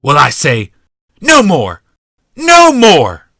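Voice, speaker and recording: A man voicing a young, bitter rebel leader haranguing a mob in a shouted, oratorical audiobook performance. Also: on the high side, wildly swooping pitch, extremely slow, dry recording, clean studio sound, voice pushed up, exaggerated voice. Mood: furious, rallying. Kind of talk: audiobook character voice.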